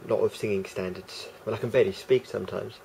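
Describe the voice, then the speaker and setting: A man with a croaky voice talking casually to camera as a vlogger, rambling and hesitant with many fillers and restarts. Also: lowish voice, fairly even intonation, fast, dark tone, slight background noise, low-quality recording.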